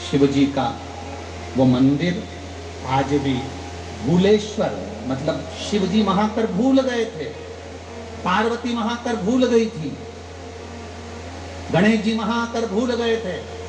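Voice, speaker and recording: A man's voice: lively intonation, slow, long pauses, roomy sound, background noise. A man giving a devotional sermon, telling stories and acting out their dialogue with emphatic rises. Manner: storytelling, acting out dialogue, rising emphatic pitch. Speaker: kathavachak preacher. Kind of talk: devotional katha sermon with anecdotes.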